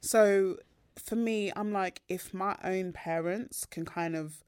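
Young British woman, podcast co-host, candid and animated, dry close mic. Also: mid-range voice, slow, clear, resonant tone, no echo. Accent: British English. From Nigeria heritage, raised in Britain. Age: young adult. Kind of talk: casual podcast chat.

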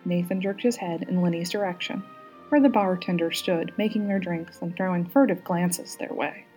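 A woman narrating a story aloud as a guest reader, with an expressive, teacherly way of addressing listeners. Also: fairly low pitch, moderate pace, soft background music, poor audio.

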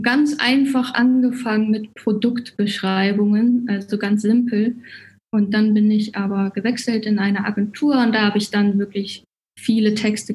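A woman's voice: mid-range voice, a little mellow, low-quality recording. A woman from Cologne with a German accent, speaking casually as a guest in a podcast interview about her work. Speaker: podcast guest, writing coach.

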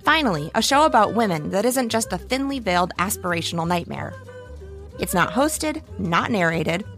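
A woman with a medium-pitched voice narrates a scripted podcast promo. Faint music runs behind her. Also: expressive, normal speed, fairly bright tone.

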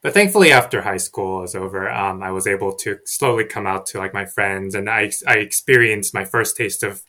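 A young man from Korea, a native Korean speaker, talking as a podcast guest in a soft, hesitant way with many fillers and restarts. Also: lowish voice, brisk. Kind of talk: casual interview chat.

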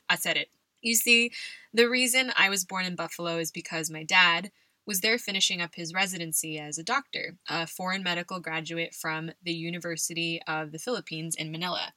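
Bright-voiced woman podcast host. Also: lowish voice, dry recording. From California. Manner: warm, conversational, laughs often. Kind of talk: personal storytelling podcast.

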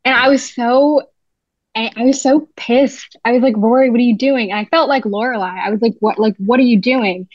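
A woman's voice: fairly high pitch, steady tone, brisk, no room sound, dull audio. A young woman from New Jersey, a writer guesting on a podcast, talking chattily with lots of "like.". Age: young adult. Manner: chatty, heavy use of "like". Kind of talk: casual interview chat.

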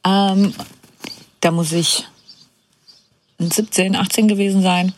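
A woman recounting a personal story as a confession. She speaks a little hesitantly, with a flat, even melody. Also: mid-range voice, slow pace, slight background noise.

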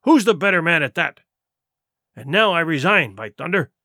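A man's voice: fairly high pitch, sing-song, normal speed, bright, forceful tone, dry recording, studio quality, exaggerated voice. A man voicing a sly, domineering pirate sea cook in an audiobook, with blustering, derisive, rustic seafaring talk. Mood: indignant. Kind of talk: audiobook character voice.

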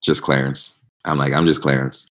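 A man in his thirties with an extremely low voice, raised in East Africa. He talks as an interview guest, reflective and unhurried, and leans on fillers as he tells his story. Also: little pitch movement, a bit bright. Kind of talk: casual interview talk.

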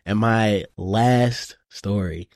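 A relaxed man chatting on a podcast, spinning casual stories and peppering them with slang. His voice sits fairly low, recorded close and dry with no room sound. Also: very slow, dry recording. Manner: laid-back storytelling, lots of "bro" and "you know". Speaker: podcast co-host. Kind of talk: casual chat.

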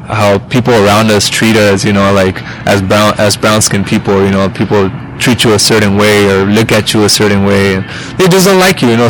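A man's voice: lowish voice, fairly bright tone, slight background noise, poor audio. A man in his thirties, a musician guest on a podcast, talking casually and rambling with lots of fillers and restarts. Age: 30s. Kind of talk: casual interview chat.

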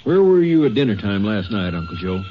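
An actor playing a stern, suspicious small-town Texas sheriff, blunt and pressing, in a 1950s radio drama. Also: male voice, fairly low pitch, big pitch swings, a little fast. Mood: stern interrogation. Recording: dull, faintly noisy old radio broadcast.

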